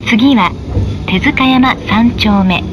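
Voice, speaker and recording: A woman reading formal, scripted tram stop announcements, politely and with measured, careful enunciation. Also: highs cut off. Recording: noisy in-vehicle recording with tram rumble. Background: tram running noise.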